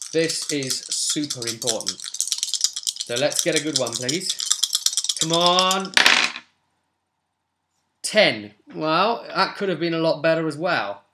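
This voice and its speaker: A man in his twenties chatting casually and thinking aloud as he explains a tabletop game to viewers. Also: on the high side. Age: 20s. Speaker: host of a tabletop roleplay channel. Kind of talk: casual explanatory chat while rolling a game character. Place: small home room, indoors. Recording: roomy echo, background hiss and clicks.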